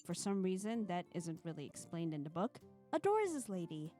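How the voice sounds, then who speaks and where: A woman's voice: fairly low pitch, big pitch swings, normal speed, warm tone. A woman hosting a live stream, chatting playfully and self-deprecatingly while reading a visual novel aloud with expression. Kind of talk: live stream chat mixed with expressive narration. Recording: music playing behind the voice. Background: background music.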